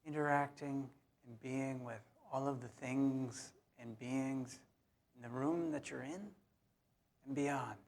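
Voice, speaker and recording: A man leading a guided meditation in a soothing, unhurried way, leaving long pauses between short phrases. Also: medium pitch, flat delivery, vocal fry. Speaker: meditation leader.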